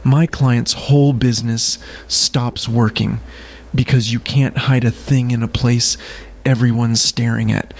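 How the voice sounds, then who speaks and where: A man playing a guarded, weary smuggler in an audio drama, speaking in a grave, deliberate way. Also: medium pitch, little pitch movement. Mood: grim resolve. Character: guarded, weary male smuggler carrying a dangerous secret.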